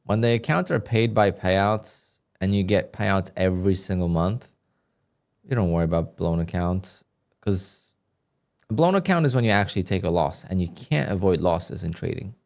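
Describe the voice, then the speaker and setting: A man doing live commentary on his trading session, talking to viewers in a flat, dry way that sounds a bit annoyed even when he is content. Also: sits low, moderate pace, creaky. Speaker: day trader livestreamer. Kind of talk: live stream commentary, reading donations.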